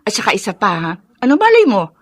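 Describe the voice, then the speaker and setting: A woman's voice: mid-range voice, huge pitch swings, fast, no room sound, clean studio sound. An actress playing a frank, persuasive female cousin in a Filipino radio drama. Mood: urging.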